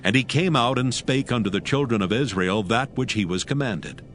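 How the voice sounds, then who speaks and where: A man narrating scripture from a script in a measured, solemn manner. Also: mid-range voice, fairly bright tone. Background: faint music.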